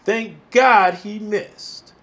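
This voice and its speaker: Heated New man, slightly high voice. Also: very slow, fairly bright tone. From the Bronx, New York. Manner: heated, emphatic, repeats "all right?" to the listener. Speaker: vlogger. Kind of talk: angry commentary rant. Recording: dull lo-fi recording.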